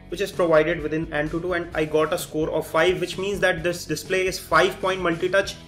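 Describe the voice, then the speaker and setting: A man giving a methodical, explanatory phone review commentary while demonstrating. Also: on the high side. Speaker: tech reviewer, host.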